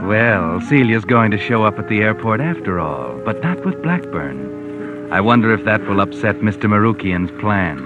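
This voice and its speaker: A man's voice: on the low side, big pitch swings, normal speed, muffled recording. A man acting as the sly, sardonic, all-knowing narrator of a 1940s American radio mystery, with an American accent. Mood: sly, teasing. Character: sly, omniscient sardonic narrator of crime tales. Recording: thin band-limited 1940s radio, organ-era music behind.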